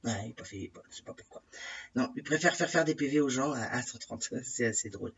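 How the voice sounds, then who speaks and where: A woman's voice: a bass voice, fast talker, vocal fry, slight background noise. A woman with a head cold, ranting indignantly in a casual monologue to her followers, repeating herself and asking pointed questions. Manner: indignant, exasperated, repeats herself, many fillers and tag questions. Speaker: vlogger addressing her followers. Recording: distant, dull lo-fi recording.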